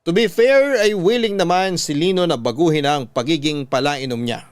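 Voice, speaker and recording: An actor with a Filipino accent narrating a first-person radio story as an earnest, reflective, confessional storyteller. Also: male voice, fairly high pitch, clean tone, no echo, close to an everyday voice. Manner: measured, sincere, confessional. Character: earnest, reflective male storyteller recalling a troubled former lover.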